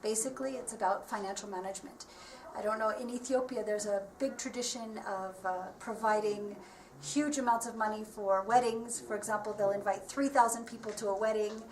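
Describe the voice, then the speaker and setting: A woman's voice: mid-range voice, fairly even intonation, fairly quick. A woman working as a marketing advisor, explaining things earnestly and steadily in an interview, with frequent ums and restarts. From United States. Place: workshop premises. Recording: echoey room, voice from far off, faint background noise.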